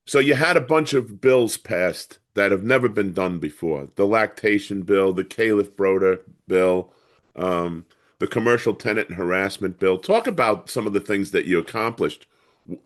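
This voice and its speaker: A man with a somewhat low voice works as a podcast interviewer. He puts his questions in a casual, conversational way.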